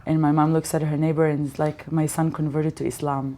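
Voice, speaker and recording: Woman, low voice, chatty storyteller, acts out quotes, casual interview. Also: little pitch movement, racing, dark tone. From Israel. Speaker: guest comedian.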